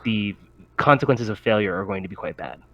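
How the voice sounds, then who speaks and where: A man with a fairly low voice acts as game master. He explains scenes and rules off the cuff, pausing as he builds each description. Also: lively intonation, fairly bright tone, highs cut off. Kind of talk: improvised tabletop game narration.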